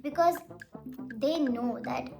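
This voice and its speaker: Young woman chatting bluntly while doing her makeup, high voice, music playing under her. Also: takes it slowly, gravelly. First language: Hindi. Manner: blunt, frank, rambling advice. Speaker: beauty vlogger. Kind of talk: casual makeup vlog chat.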